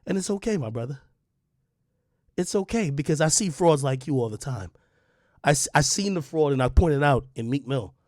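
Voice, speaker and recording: A man's voice: fairly high pitch, lively intonation, moderate pace, darkish tone, no echo, studio quality. A young adult man delivering a confrontational rant straight at the listener, repeating his points with heat. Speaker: online media personality. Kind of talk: direct-to-camera rant.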